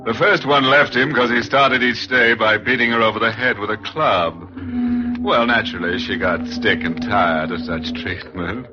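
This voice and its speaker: An actor playing a ghoulish, darkly joking horror host, narrating theatrically in 1940s radio style. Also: male voice, fairly low pitch, lightly acted. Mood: darkly amused. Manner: slow, theatrical, punning. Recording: muffled vintage radio, music behind.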